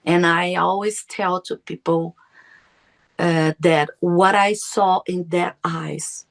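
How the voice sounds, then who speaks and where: A woman's voice: lowish voice, fairly even intonation, takes it slowly, creaky. A middle-aged woman whose first language is Portuguese, telling a personal story as a podcast guest, earnest and often searching for her words. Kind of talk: personal testimony.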